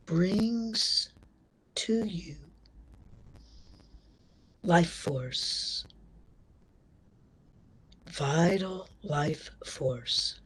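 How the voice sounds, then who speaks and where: Slow, husky woman guiding meditation. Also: fairly low pitch, poor audio. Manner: gentle, measured, pausing between short phrases. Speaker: meditation instructor. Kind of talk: guided meditation.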